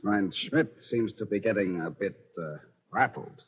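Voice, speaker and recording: A man's voice: fairly low pitch, a little slow, lightly acted. Man acting a stiff, wary Nazi officer. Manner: stiff, formal phrasing. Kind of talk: 1940s radio acting. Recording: noisy, dull old radio recording.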